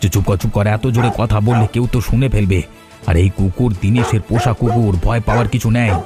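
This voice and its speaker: A man's voice: lowish voice, steady tone, fast, loud music behind the voice, close to an everyday voice. A man playing a jealous, spiteful village schemer in a moral-story cartoon, speaking with resentment. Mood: hushed urgency.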